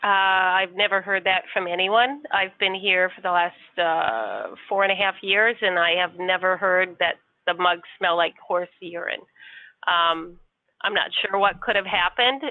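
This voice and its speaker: A woman's voice: on the low side, measured pace, no room sound. A woman working customer service, answering politely and patiently with some hesitation. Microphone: phone. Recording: tinny dry phone line.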